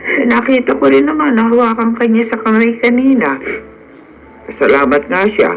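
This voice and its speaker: An actress playing an elderly, protective household servant who scolds and admonishes in a radio drama. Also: female voice, on the high side, lively intonation, normal speed, vocal fry, rough recording. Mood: stern, admonishing. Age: older.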